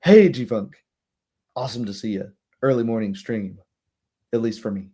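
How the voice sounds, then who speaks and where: A young man hosting a livestream with casual commentary, speaking in a rambling, halting way and often checking in with tag questions. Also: lowish voice, mellow, husky. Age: young adult. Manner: rambling, halting asides, frequent "right?" tags. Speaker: livestream host.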